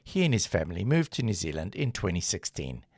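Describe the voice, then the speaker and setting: Deep, lively male podcast host. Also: fairly quick, no echo, clean studio sound. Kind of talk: podcast interview, scripted intro.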